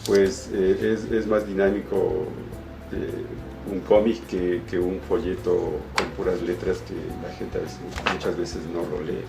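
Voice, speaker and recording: An Ecuadorian man giving an informal, somewhat halting explanation of his work as an illustrator, often repeating words. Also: sits low, flat delivery, gravelly. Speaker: comic illustrator. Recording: roomy echo with music behind. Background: background music.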